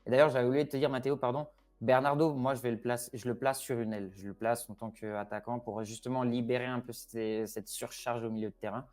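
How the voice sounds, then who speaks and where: A man's voice: mid-range voice, flat delivery, quick pace, hardly any pauses, darkish tone. A man with Porto roots giving his opinions in a casual football discussion, hesitant with many fillers and restarts. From Portugal (Porto roots). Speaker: expert guest on Portugal.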